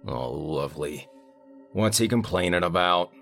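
A man's voice: low-pitched, expressive, unhurried, a bit bright, soft background music, exaggerated voice. A man voicing a harried, middle-aged male manager who keeps up a strained, polite customer-service tone. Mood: weary.

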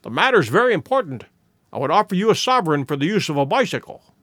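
A man voicing a morose, suspicious country innkeeper in an audiobook story, speaking gruffly and in rustic phrases. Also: fairly high pitch, sing-song, fast, a bit bright, no room sound, clean studio sound, exaggerated voice. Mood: grudging.

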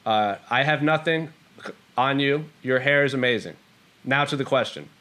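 A man's voice: medium pitch, moderate pace, pushed and bright. A man co-hosting a mixed martial arts show, casually fielding fan questions and chatting about the sport. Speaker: co-host of an MMA Q&A show.

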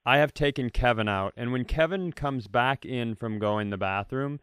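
A man hosting a comedy podcast, telling stories casually with animated exaggeration. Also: mid-range voice, moderate pace, clear, resonant tone, no room sound, studio quality. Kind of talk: casual comic storytelling.

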